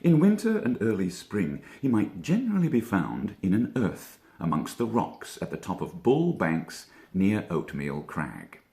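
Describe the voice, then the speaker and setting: A male actor narrates a children's storybook with highly animated, swooping intonation. His lowish voice has a creaky, gravelly grain and deliberate dramatic phrasing. The room around him adds noticeable echo.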